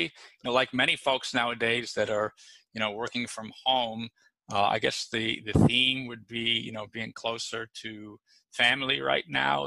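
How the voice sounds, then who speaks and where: Man with a gravelly, bright voice. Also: medium pitch, no echo, rough recording. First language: English. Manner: relaxed, many fillers and restarts. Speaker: podcast guest. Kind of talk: casual interview answers.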